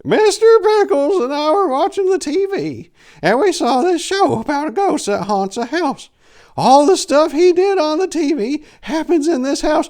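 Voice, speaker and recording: A man's voice: very high-pitched, animated, moderate pace, a little mellow, pitched above the performer's own voice. A man as a screeching panicked old lady. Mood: shrill panic.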